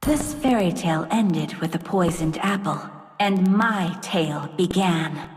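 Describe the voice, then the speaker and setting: A woman's voice: lowish voice, unhurried, slight background noise, close to an everyday voice. An actress playing a proud, vengeful fairy-tale princess turned queen in a video game, regal, cold and dramatic. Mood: cold resolve. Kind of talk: video game character voice lines. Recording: long reverb, music behind.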